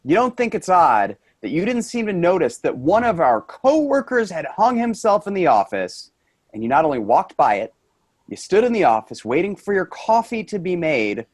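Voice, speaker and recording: Shaken male office worker, stage drama, man acting, earnest and pleading, high-pitched, animated. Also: normal speed. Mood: appalled. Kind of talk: staged play reading.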